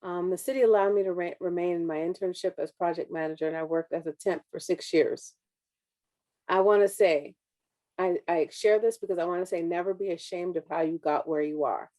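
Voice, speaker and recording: Thirties councilwoman, sincere and encouraging, low warm tone, speaking over a video call. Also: steady tone, a little fast. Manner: earnest, reflective, encouraging. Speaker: city councilwoman, panel speaker. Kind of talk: personal speech at an online forum.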